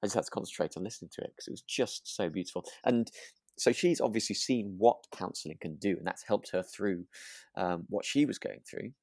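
A man's voice: on the low side, a little fast, darkish tone, no room sound. A man co-hosting a podcast in casual chat, effusive and often repeating himself as he searches for phrasing. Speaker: podcast co-host. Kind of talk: casual chat about a radio drama.

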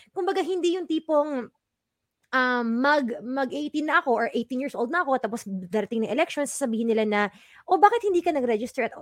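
High-voiced bubbly Filipino woman DJ. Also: no echo, clean studio sound. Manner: bubbly, laughs often, mixes Filipino and English. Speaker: radio DJ co-host. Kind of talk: casual talk-show banter.

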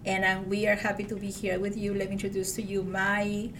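A woman's voice: medium pitch, monotone, a little fast, noisy background. Polite, formal woman teacher heard from off-mic. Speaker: Spanish teacher. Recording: distant from mic.

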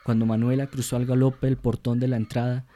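A man narrating a literary radio drama in a measured, solemn, descriptive manner. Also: medium pitch, flat delivery, dark tone.

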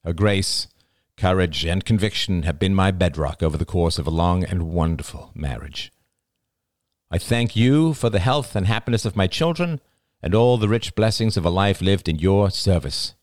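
A man voicing a devout, hearty elderly father, speaking in a measured, declaiming way for a story. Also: low voice, animated, no echo, clean studio sound. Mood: solemn, reverent. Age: older.

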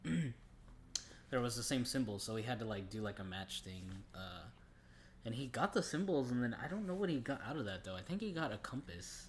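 A man's voice: mid-range voice, expressive, brisk, a little hiss or hum. A man co-hosting a podcast, discussing movies in an informal, conversational way. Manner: informal, conversational, often says "like". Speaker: podcast co-host.

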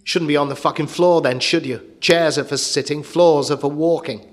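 A man voicing a violent, abusive stepfather in a story, shouting with sneering contempt. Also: on the high side, fairly even intonation, normal speed, voice pushed up, lightly acted. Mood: angry, contemptuous. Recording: long hall reverb, clear background noise.

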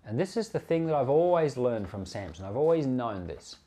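A man gives an informal sermon as a podcast preacher. His mid-pitched voice swings freely up and down as he talks earnestly and conversationally, circling back to rephrase his points for emphasis. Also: very animated, a little fast, mellow. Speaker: preacher and Bible podcast host. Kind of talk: informal sermon commentary on scripture.

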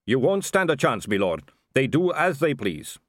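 A man's voice: medium pitch, very animated, moderate pace, a bit bright, dry recording, studio quality, pitched above the performer's own voice. A man voicing a nervous, yielding guard captain who speaks hesitantly and respectfully, in a storybook reading. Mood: pleading warning.

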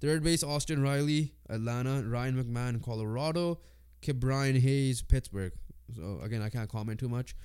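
A man's voice: mid-range voice, moderate pace, few pauses, a little mellow, smooth. A man co-hosting a sports podcast with a congested voice, chatting informally and swearing casually. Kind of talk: casual sports chat, reading lists and predictions.

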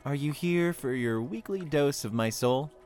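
A man's voice: fairly high pitch, expressive, normal speed, a little mellow, soft background music, lightly acted. An actor playing a carefree, playful young man in love with a mermaid in an audio drama. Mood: teasing.

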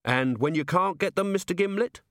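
A man acting an earnest watchman, pitched up. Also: lively intonation, fairly quick, fairly bright tone, dry recording, studio quality. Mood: calm authority. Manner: polite, matter-of-fact questioning. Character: earnest, patient, honest male city watchman. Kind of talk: audiobook character voice.

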